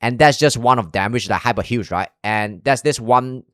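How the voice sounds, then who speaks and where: A man's voice: mid-range voice, a little fast, a bit bright, clear, resonant tone, dry recording, studio quality. A young man from Malaysia with a Malaysian English accent, reacting to a video on stream in a rambling, casual way with frequent swearing and restarts.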